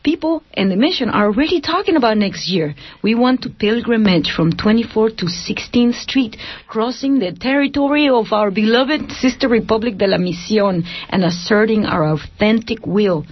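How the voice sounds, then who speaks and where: Lively bilingual woman, dry lo-fi radio. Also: on the high side, moderate pace, husky, dry recording. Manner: lively, mixes English and Spanish. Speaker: radio drama cast member. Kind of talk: scripted community radio drama. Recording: dull lo-fi radio sound, dry.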